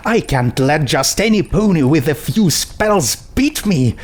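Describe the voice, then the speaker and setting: A man's voice: a little high, expressive, measured pace, voice pushed up. A man voicing a self-righteous young female pony princess in a storybook reading, earnest, gushing and pedantic. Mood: annoyed, defensive. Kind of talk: storybook character voice in fan fiction reading.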